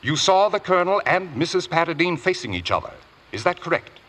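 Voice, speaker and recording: A man's voice: fairly high pitch, big pitch swings, fairly quick, fairly bright tone. A man playing a grave, insistent Crown prosecutor in a radio courtroom drama, speaking with formal, rhetorical emphasis. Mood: pointed, measured.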